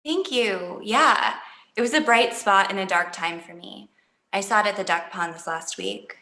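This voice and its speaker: A woman's voice: medium pitch, moderate pace, bright, forceful tone, lightly acted. An actress reading a guarded, politely cool painter in her thirties, conversational and understated. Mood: cool, evasive. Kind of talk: comedy pilot table read. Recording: echoey room over online call.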